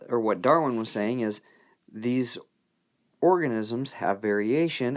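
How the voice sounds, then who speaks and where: A man teaching an informal recorded lesson, talking in a folksy, conversational way with playful asides. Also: on the low side. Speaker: biology teacher.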